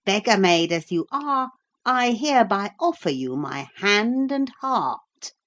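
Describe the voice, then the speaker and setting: A woman's voice: medium pitch, sing-song, slow pace, a bit bright, pitched above the performer's own voice. A woman reading aloud, voicing a greedy, pompous male magician who turns cross.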